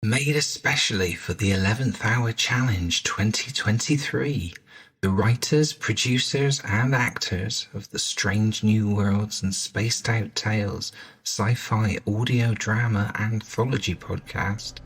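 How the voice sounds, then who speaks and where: A man with a husky voice reading a formal show announcement. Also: lowish voice, slow, fairly bright tone, poor audio. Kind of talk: scripted announcement.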